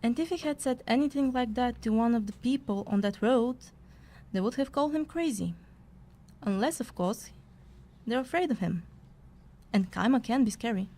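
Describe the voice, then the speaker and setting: A woman's voice: on the high side, animated, normal speed, long pauses, warm tone. A woman playing a wry narrator-scribe who trails the hero in a scripted fantasy audio play. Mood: wry narrating.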